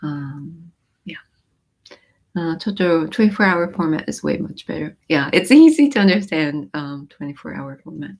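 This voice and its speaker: A woman with a somewhat low voice hosts a relaxed livestream. Japanese is her mother tongue but she grew up in the US. She reads out viewer comments and explains lyrics, pausing on ums and restarting her sentences. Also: gravelly. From born in Japan, raised in the US. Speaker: livestream host. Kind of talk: casual livestream chat.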